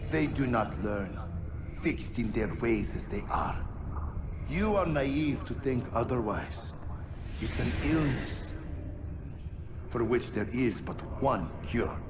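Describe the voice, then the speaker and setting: An actor playing a proud, scornful book-burning zealot in a video game, declaiming like a preacher. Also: male voice, mid-range voice, lively intonation, slow pace, pauses often, noisy background. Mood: dying, defiant. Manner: declamatory, preaching, rhetorical questions. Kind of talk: dramatic video game acting.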